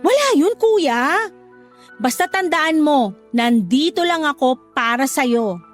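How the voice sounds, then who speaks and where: An outspoken young sister in an audio drama. Her voice sits very high and bright, and she talks bluntly, then turns tender and reassuring. Music plays softly beneath her. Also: lively intonation, slow pace. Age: young adult. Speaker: younger sister and letter sender. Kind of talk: radio drama acting and letter narration. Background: soft music under dialogue, louder music under narration.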